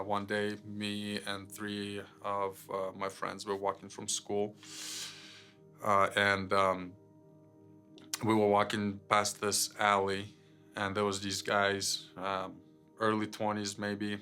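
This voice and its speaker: A young man from Ukraine telling his life testimony unscripted, halting with many fillers and repeated words. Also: fairly low pitch, completely flat delivery, bright, forceful tone, soft background music. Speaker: guest sharing his testimony. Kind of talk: personal testimony, unscripted storytelling.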